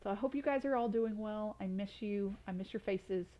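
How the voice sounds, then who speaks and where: A woman reads a picture book aloud as a storyteller for children. Her voice sits at a medium pitch and her delivery is expressive. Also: moderate pace, dull audio.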